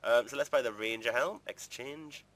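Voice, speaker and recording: A man's voice: medium pitch, normal speed, a bit bright. A man giving casual, chatty gameplay commentary in an informal, rambling way, as a video creator would.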